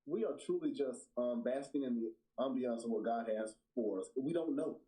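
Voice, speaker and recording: A man, a guest answering questions in an earnest, relaxed way. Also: medium pitch, moderate pace, rough recording. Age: 40s. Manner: relaxed, earnest, laughs along. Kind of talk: casual interview chat.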